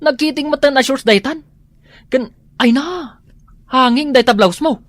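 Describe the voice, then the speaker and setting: A man's voice: very high-pitched, unhurried, fairly bright tone, dry recording. An actor with an Ilocano accent playing a nagging, disapproving man of the household in a comedy radio drama, full of scolding questions.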